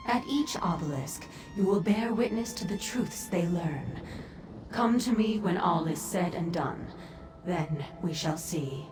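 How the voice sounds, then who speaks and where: A woman's voice: mid-range voice, lightly acted. A woman playing an imperious, solemn demon clan leader in a fantasy video game, speaking in a stately, formal, commanding way. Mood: grave, commanding. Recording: echoing voice over game music.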